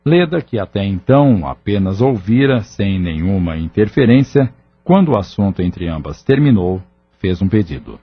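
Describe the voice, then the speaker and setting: A man narrating an audio drama in a solemn, measured reading with a Brazilian accent. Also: lowish voice, sing-song, slightly rough. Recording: dull lo-fi audio drama recording.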